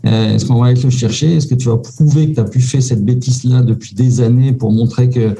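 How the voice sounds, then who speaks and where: A man giving casual advice as an immigration consultant, pausing often with fillers. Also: mid-range voice, steady tone, vocal fry, dark tone, faint music behind. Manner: hesitant, many "euh" fillers, informal.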